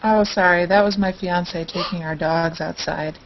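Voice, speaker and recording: A woman's voice: fairly low pitch, fairly even intonation, moderate pace, a crowd in the background. A woman chatting casually as a panel guest on a video call, with dry, mock-formal humour. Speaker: panel guest in an online hangout. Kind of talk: casual chat. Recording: dull, slightly noisy web call audio.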